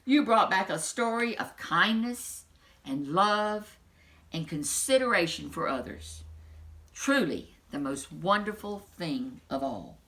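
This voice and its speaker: A woman puts on a kindly, excitable storybook king. He proclaims in big exclamations, with pitch that leaps and swoops widely. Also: slow pace. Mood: warm, approving.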